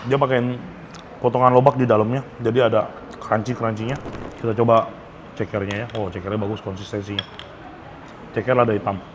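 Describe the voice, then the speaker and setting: A man's voice: fairly low pitch, little pitch movement, brisk, long pauses. A man gives a casual, enthusiastic food review to camera, often stretching words for emphasis. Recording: noticeable background ambience noise.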